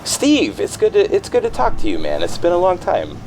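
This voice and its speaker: An actor playing a bewildered man in a naturalistic audio drama, speaking haltingly and repeating his words. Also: high voice, wildly swooping pitch, vocal fry, a little mellow, slight background noise, heavily acted. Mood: hesitant, uneasy. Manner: hesitant, stammering, repeats words. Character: bewildered, halting man living alone in a rural house. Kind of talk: naturalistic fiction podcast acting, conversational.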